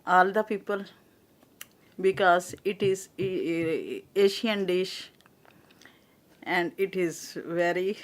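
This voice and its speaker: An older woman from Pakistan, a native Urdu speaker, a guest speaking halting English with a Pakistani accent and many restarts. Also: lowish voice.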